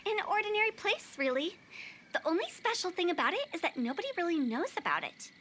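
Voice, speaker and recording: An actress playing a playful, cheeky young funeral parlor director in an anime video game, teasing and theatrical. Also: female voice, extremely high pitch, sing-song, fast talker, a bit bright, slight background noise, heavily acted. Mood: playful warning.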